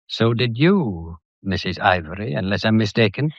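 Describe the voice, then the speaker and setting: An actor playing a shrewd, methodical police detective in a British period mystery drama, courteous and lecturing, with a faintly theatrical delivery. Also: male voice, on the low side, lively intonation, normal speed, no room sound, lo-fi sound. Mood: pointed, deliberate. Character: shrewd, methodical male police detective laying out a murder case.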